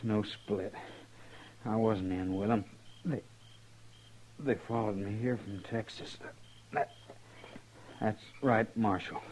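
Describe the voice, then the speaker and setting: Slow, halting wounded young outlaw. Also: male voice, lowish voice, fairly even intonation, a little mellow. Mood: weary, resigned. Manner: halting, drawling rustic Western speech. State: weak and labored from a gunshot wound. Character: fatally wounded young male outlaw gunman, bitter yet resigned. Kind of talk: old-time radio Western acting. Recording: muffled, noisy old radio recording.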